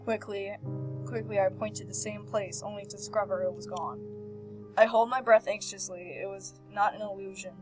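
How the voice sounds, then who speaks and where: A woman hosting a game playthrough, reading visual novel text aloud with animated, casual reactions and stumbling over words now and then. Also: medium pitch, normal speed. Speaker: host of a video game playthrough. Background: game background music.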